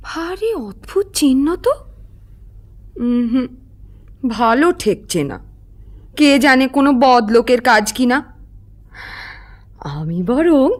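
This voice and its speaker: A woman playing a clever, loyal young maidservant in a theatrical cartoon monologue. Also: a little high.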